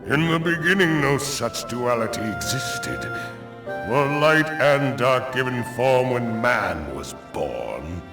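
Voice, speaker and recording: An actor playing an ancient, stern thunder god and forest guardian in a video game, declaiming gravely in archaic, theatrical speech. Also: male voice, medium pitch, sing-song. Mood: wrathful, condemning. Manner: grave, pronouncing, judgmental, deliberate. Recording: roomy, distant echoing voice under loud music.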